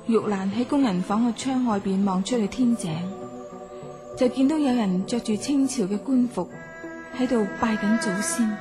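A woman's voice: fairly high pitch, natural voice. A woman playing a young novelist who narrates her sombre story in a radio drama.

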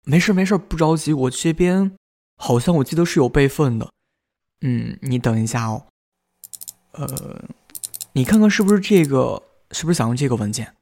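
Man acting gentle, patient young student, medium pitch. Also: lively intonation, moderate pace, lightly acted. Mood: calm, helpful. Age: young adult. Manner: gentle, hesitant, reassuring. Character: kind, patient, reliable young male student. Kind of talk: radio drama dialogue.

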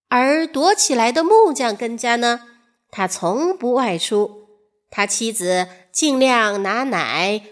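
A woman telling a scripted fairy tale to children in an expressive way. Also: a little high. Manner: expressive, addresses young listeners. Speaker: children's storyteller.

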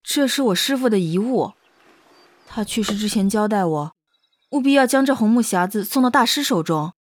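A woman's voice: medium pitch, animated, moderate pace, no room sound, close to an everyday voice. A woman playing a young female disciple disguised as a boy, polite, questioning and hesitant, in a Chinese period audio drama. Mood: respectful, curious.

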